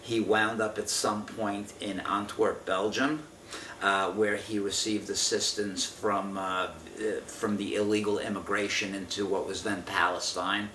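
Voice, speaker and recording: A man in his fifties answering an oral history interview from memory, speaking in a halting, careful, measured way. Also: lowish voice, level tone, pushed and bright. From Israel. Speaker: interviewee giving family testimony. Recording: roomy, distant mic with faint noise.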